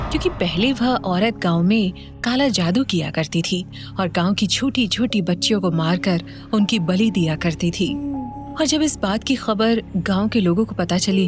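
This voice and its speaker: A woman storyteller giving a dramatic scripted narration of a horror story. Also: on the low side. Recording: music behind the voice.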